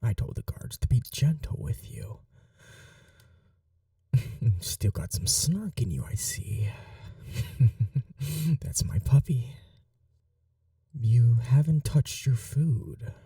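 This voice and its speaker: A cruel yet doting vampire mistress, acted by a woman for an ASMR roleplay. The voice is soft, breathy and often whispered, with slow delivery and swooping, expressive pitch. It has a very dark, velvety tone and coaxes with possessive tenderness. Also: no room sound, studio quality, far from the mic, heavily acted. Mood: teasing, coaxing. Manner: slow, coaxing, tender with pet names. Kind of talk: intimate ASMR roleplay acting.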